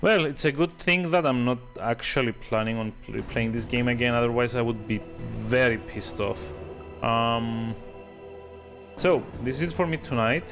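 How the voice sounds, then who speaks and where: A man streaming a video game, giving casual, rambling live commentary with hesitant pauses and dry sarcasm. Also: mid-range voice, music in the background.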